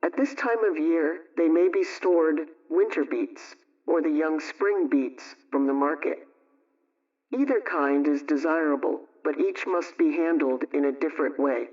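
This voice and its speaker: A man's voice: a little high, a little slow, a little mellow. A man presenting a homemaking radio program as scripted narration. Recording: distant, dull lo-fi radio sound.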